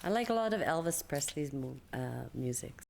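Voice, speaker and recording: Low-voiced hesitant woman in her seventies. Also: expressive, moderate pace, vocal fry, darkish tone, slight background noise. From Canada. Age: 70s. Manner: hesitant, repeats words as she starts. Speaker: community elder. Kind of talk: interview answers.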